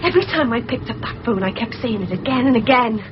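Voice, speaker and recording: A woman acts an earnest, wistful character in a 1990s radio play. Her voice is high, with music clearly playing behind it. The broadcast copy sounds a little dull. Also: lively intonation, quick pace, natural voice. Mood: wistful, hopeful. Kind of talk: 1990s BBC radio drama acting.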